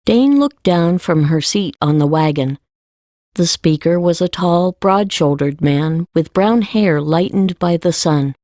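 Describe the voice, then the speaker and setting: A woman's voice: lowish voice, a little slow, dark tone, no room sound, clean studio sound. A woman reading scripted narration for a fantasy audiobook.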